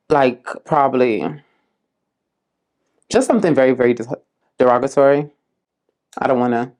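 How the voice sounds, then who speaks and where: A woman's voice: sits low, a little mellow. Earnest woman answering interview questions. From South Florida, US. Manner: unhurried, many "um"s and "like"s, earnest. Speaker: community case manager. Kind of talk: casual interview answers to prompts.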